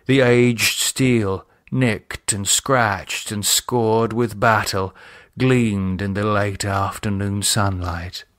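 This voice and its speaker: A man reading a horror novel as a dramatic audiobook, measured and expressive in his delivery. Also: fairly low pitch. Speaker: author and narrator reading own novel.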